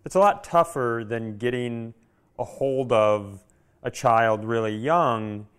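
A man's voice: mid-range voice, takes it slowly, clean tone. Candid, rambling man on a podcast. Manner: rambling, candid, profane asides. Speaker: podcast co-host. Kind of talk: casual comedic chat.

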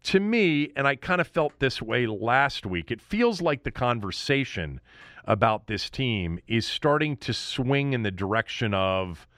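An American man hosts an opinionated sports talk show, speaking straight to his listeners. His medium-pitched voice rises and falls in a very animated way. He leans on words and restates phrases for emphasis, and the sound is dry, with no room around it. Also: no echo. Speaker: sports podcast and radio host.

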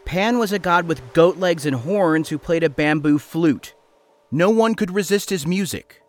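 A man's voice: on the high side, a little slow, faint music behind, pitched above the performer's own voice, lightly acted. A male narrator voicing an imaginative, bookish young boy, earnest and dreamy.

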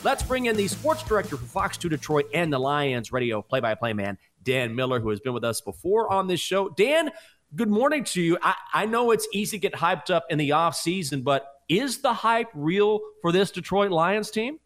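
A man's voice: sits high, very animated, normal speed, a bit bright, soft background music. An American man hosting a sports show, introducing a guest and putting questions to him. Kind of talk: radio interview questioning.

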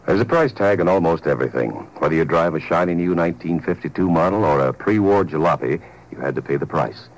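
A man announcing a scripted 1950s radio public service message in a grave, emphatic, deliberate way. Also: deep voice, expressive, poor audio. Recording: old 1950s radio recording.

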